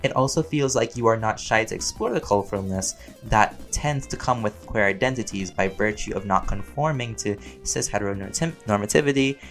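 A man chatting casually and playfully as he answers fan questions, rambling along in an expressive way. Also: lowish voice, normal speed, few pauses, soft background music. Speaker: voice actor and audio roleplay creator answering fan questions.

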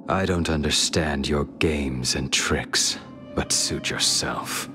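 A man's voice: a bass voice, slow pace. A man voicing a grim, brooding swordsman in an anime game, cold and terse, half-whispering his words. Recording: music behind the voice.

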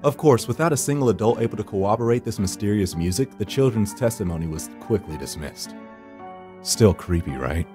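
A male actor plays a secretive hired finder from the edge of death. His voice is low and moves around a lot, dry and theatrical as he narrates a horror game. Faint music sits behind him. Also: expressive, fairly quick, close to an everyday voice. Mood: wry, brooding. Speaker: narrator and lead character. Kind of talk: first-person horror visual novel narration. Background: background music.

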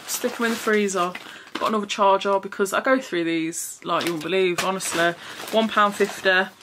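A woman's voice: mid-range voice, fairly even intonation, moderate pace. Young woman chatting frank to camera in her bedroom, swears a bit, hiss on the mic. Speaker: vlogger. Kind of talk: casual haul chat to camera. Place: small bedroom indoors.

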